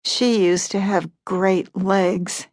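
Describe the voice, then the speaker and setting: Calm woman narrating literary fiction. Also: mid-range voice, extremely slow, dry recording, studio quality. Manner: calm, even storytelling. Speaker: audiobook narrator.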